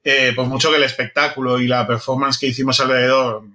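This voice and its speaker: A man's voice: medium pitch, level tone, fast talker, bright, forceful tone. A man with a Castilian Spanish accent from Spain, chatting casually and enthusiastically in a group, often repeating his phrases. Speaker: audio fiction project member.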